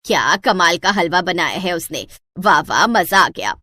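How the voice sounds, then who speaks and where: A woman's voice: on the low side, animated, a little slow, pushed and bright, dry recording, pitched below the performer's own voice, exaggerated voice. A woman voicing an older, carping mother-in-law in a moral story, gushing and scornful by turns. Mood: dismissive. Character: carping mother-in-law, forever praising the neighbour's daughter-in-law.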